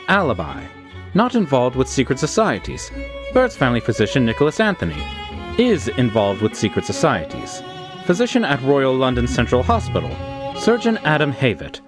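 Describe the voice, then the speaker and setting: A suave demon butler acted by a man in an anime dub. He is formal, polite and utterly composed, with a lively, swooping delivery. Music plays behind the voice. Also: mid-range voice. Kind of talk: anime-style manga dub acting.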